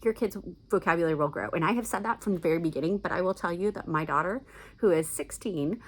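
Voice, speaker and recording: A woman's voice: fairly low pitch, quick pace. A middle-aged woman giving casual, chatty recommendations as a book vlogger, with an enthusiastic, emphatic manner.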